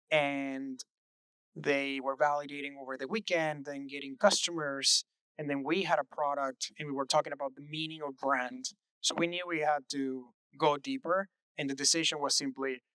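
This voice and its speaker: Earnest young Venezuelan-born founder, crisp voice. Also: male voice, mid-range voice, pushed and bright, no echo, poor audio. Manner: earnest, reflective, frequent "uh" fillers. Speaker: startup co-founder, interview guest. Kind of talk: relaxed interview answers.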